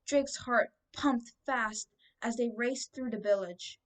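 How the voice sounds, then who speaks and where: A woman's voice: a little high, takes it slowly, distant mic. A twelve-year-old girl reading a children's storybook aloud as scripted narration. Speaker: book reader.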